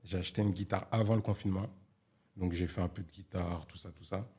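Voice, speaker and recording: Deep-voiced laid-back young man. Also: fairly even intonation, fast talker, warm tone, husky. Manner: laid-back slangy talk. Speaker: guest, music producer. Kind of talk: casual chat.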